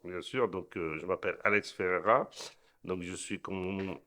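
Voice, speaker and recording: A man's voice: deep voice, steady tone, normal speed, sharp tone. A middle-aged man from Portugal, answering interview questions earnestly, often repeating and restarting words. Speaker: interview guest, entrepreneur.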